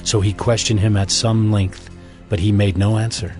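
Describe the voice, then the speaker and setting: A man narrating scripture from a script in a solemn, measured manner. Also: fairly low pitch, level tone, darkish tone, faint music behind.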